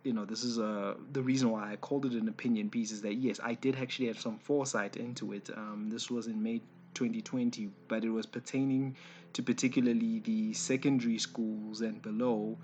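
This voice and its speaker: A South African man in his forties with a slightly raspy voice, giving an informal opinion piece in a hesitant, conversational way, often correcting himself. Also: on the low side, fairly even intonation, fairly quick, a little mellow, slight background noise. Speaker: online commentator.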